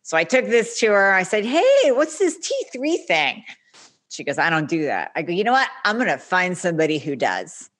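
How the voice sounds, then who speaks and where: A woman doctor, a podcast guest, telling her own story in an animated way and acting out the lines of others. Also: fairly low pitch, moderate pace. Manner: animated, acts out quoted dialogue. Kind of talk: storytelling interview.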